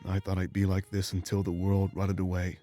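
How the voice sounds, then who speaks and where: An actor playing an ancient, remorseful immortal warrior in a video game, speaking in a solemn, measured, bitter way. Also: male voice, low-pitched, monotone, mellow, faint music behind, close to an everyday voice. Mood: bitter, weary. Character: ancient immortal former rebel warrior, remorseful and weary man. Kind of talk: dramatic game voice acting, confessional monologue.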